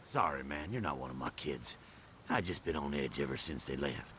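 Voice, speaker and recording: A man's voice: rumbling low, animated, normal speed, noisy background. A man playing a menacing, wheedling pimp in a video game. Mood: brooding, wheedling. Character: menacing, smooth-talking male pimp. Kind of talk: video game cutscene acting.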